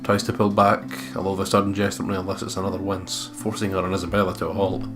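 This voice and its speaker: Low-voiced man reading game narration. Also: little pitch movement, fast talker. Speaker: let's play gamer. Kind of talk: reading game narration aloud with casual commentary. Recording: guitar music behind the voice. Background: game soundtrack with guitar.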